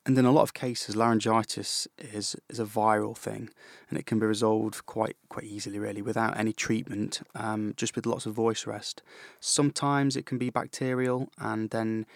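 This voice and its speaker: A man hosting his own podcast as a vocal coach, explaining things calmly with frequent fillers and restarts. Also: fairly low pitch, moderate pace, vocal fry, no echo, studio quality. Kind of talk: solo educational podcast talk.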